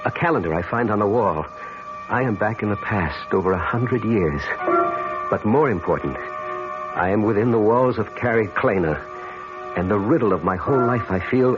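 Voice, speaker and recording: A male actor as a haunted, earnest man tells his tale in formal, literary phrases. The low voice moves widely in pitch. It sounds like an old radio drama, dull in fidelity, with music behind it. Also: animated, moderate pace, close to an everyday voice. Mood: entranced.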